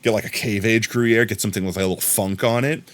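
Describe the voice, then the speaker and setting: An American man chatting casually on a live stream, rambling and bursting into excited shouts of thanks to viewers. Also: fairly low pitch, fast talker. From United States. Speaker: livestreamer.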